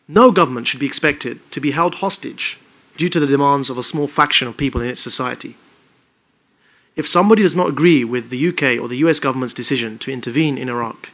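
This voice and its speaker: A British-born man giving an articulate, emphatic public talk in measured phrases. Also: a little high, fairly bright tone. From United Kingdom. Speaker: public speaker.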